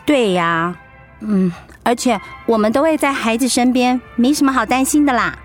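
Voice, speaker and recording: An actress playing an outspoken, doting middle-aged wife in a radio drama, with a Taiwanese Mandarin accent. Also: female voice, on the high side, moderate pace, soft background music, lightly acted. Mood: gently persuasive.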